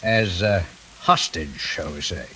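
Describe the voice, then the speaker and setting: A coldly polite, menacing intruder. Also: male voice, sits low, lively intonation, slow, sharp tone, exaggerated voice, rough recording. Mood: quiet menace. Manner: formal, commanding, icily courteous. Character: cold, menacing male fugitive intruder, mockingly polite. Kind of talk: 1940s radio acting. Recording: faintly hissy vintage radio recording.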